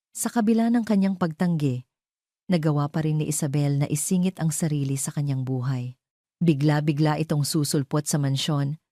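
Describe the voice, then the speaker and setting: A woman narrates a scripted romance tale. Her voice is notably low and warm, with a dark tone. The recording is very dry. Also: no echo. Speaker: story narrator.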